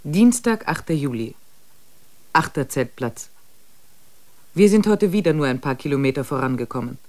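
A man's voice: a little high, expressive, normal speed, darkish tone, natural voice. An actor playing a weary male expedition member who reads his diary entries in a sober, matter-of-fact way in a radio play.

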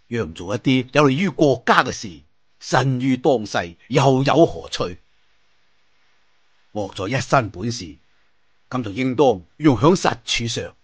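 A Cantonese man voicing a righteous martial-arts leader with grave, measured oratory in an audio storybook. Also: mid-range voice, animated, breathy edge, dry recording. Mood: solemn, resolute.

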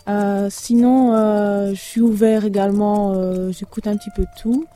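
Woman from Belgium, halting and full of fillers, very dark mellow tone, faint music behind; interview after a concert. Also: mid-range voice, flat delivery. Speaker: singer and lyricist of a duo. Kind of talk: hesitant interview answers.

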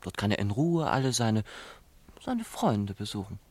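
An actor playing a cheeky young brother in a German radio play, playful and mocking. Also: male voice, lowish voice, very animated, normal speed, darkish tone, husky, dry recording. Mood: teasing.

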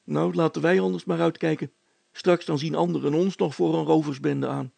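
A man voicing a calm, joking young villager in a storybook, talking in a casual, teasing way. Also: on the high side, normal speed, vocal fry, breathy edge, no echo, voice pushed up, full character voice.

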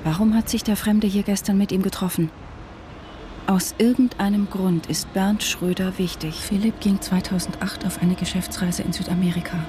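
A woman's voice: medium pitch, steady tone, normal speed, noisy background, close to an everyday voice. A woman playing a distraught, suspicious wife and mother in a German radio drama, narrating and speaking. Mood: tense, inward.

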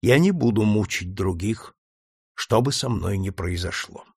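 A man voicing a calm, faithful male slave who speaks quietly and solemnly despite a beating. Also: mid-range voice, moderate pace, creaky, slightly rough, no echo, studio quality. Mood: steadfast.

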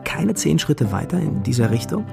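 A man's voice: a little high, very animated, moderate pace, creaky, full character voice. An actor playing a young male wanderer who recounts his journey reflectively in a first-person radio drama. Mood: observant, uneasy. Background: music.

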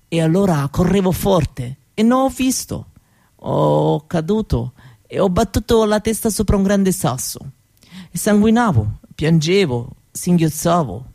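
An actress playing a dreamy young Roma woman recalling her childhood in an intimate, halting radio monologue. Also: on the low side. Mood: remembering, tender. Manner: halting, wistful, with soft repetitions.

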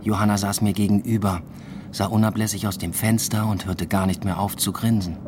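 Male actor as secretive restless lover, low register, understated, matter-of-fact, literary radio play. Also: level tone, brisk, background noise, lightly acted. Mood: reflective, subdued. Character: secretive, restless man having an affair. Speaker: first-person narrator and lead actor. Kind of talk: literary radio drama, intimate narration and dialogue.

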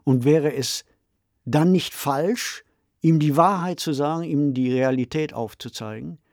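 A man co-hosting a podcast, speaking in a measured, deliberate way as he reflects on radio drama. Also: fairly high pitch, darkish tone, dry recording, clean studio sound. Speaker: podcast co-host. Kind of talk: reflective discussion of a radio play.

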